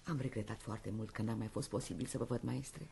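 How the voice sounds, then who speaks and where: A woman's voice: a bass voice, vocal fry, dark tone, slight background noise. A woman playing a gracious, coolly candid divorced actress in a radio comedy, speaking with polished assurance. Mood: gracious, wistful. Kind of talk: radio play acting, comedy.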